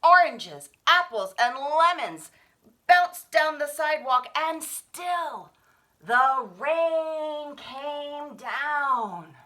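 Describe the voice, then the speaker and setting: A woman's voice: sits high, lively intonation, extremely slow, bright, forceful tone. A woman reading a children's picture book aloud in a theatrical way, drawing out the refrains. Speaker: children's story reader at a library.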